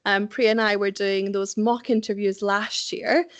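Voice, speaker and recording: A middle-aged woman with a Scottish accent giving a practical, conversational career-advice presentation, often pausing with "um". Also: medium pitch, little pitch movement, no echo. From Scotland. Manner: practical, conversational, frequent ums, occasional laughs. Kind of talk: presentation to young professionals.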